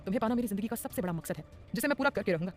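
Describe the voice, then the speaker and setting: A ruthless, obsessed male mafia boss, as a woman narrator puts him on, growling threats and possessive promises in an audio story. His words tumble out at a very fast clip over faint background music. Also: mid-range voice, expressive, close to an everyday voice.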